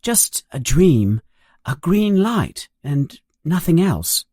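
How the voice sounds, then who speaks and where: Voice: mid-range voice, big pitch swings, slow pace, warm tone, husky, no echo, clean studio sound, voice pushed up, heavily acted. A narrator voicing a polite, bashful eleven-year-old wizard boy in a storybook. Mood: unsure.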